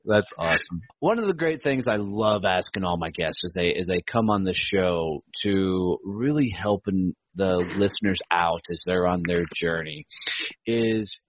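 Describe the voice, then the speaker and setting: A man's voice: low-pitched, animated, measured pace, highs cut off, slight background noise. A man hosting a podcast in casual interview chat, effusive and informal with slangy speech. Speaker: podcast host.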